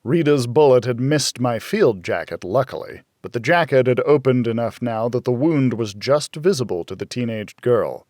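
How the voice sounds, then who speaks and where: A man's voice: mid-range voice, lively intonation, moderate pace, few pauses, a little mellow, no room sound, studio quality. An American man reading scripted first-person noir thriller narration as an audiobook narrator.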